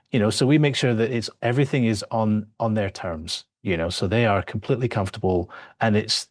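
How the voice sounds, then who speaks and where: Man, 30s, low voice, reflective guest with a dry close mic and many restarts. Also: no echo. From born in Australia, raised in several countries. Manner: reflective, restarts often, many "you know" fillers. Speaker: filmmaker guest. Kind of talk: conversational podcast interview.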